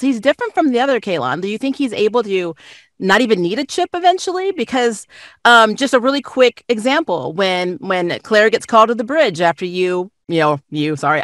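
A woman's voice: on the high side, fairly bright tone, no echo, clean studio sound. A woman conducting an enthusiastic, effusive interview over a video call.

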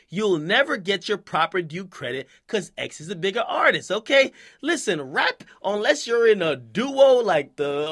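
A man's voice: high-pitched, pushed and bright. A man hosting an opinionated, slangy hip-hop commentary, speaking emphatically and punctuating his points with checking questions. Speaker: commentary show host.

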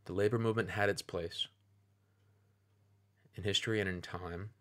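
A man with a low, deep voice delivers video commentary over clips. He speaks in a measured, deliberate way, building his points in steady, persuasive phrases.

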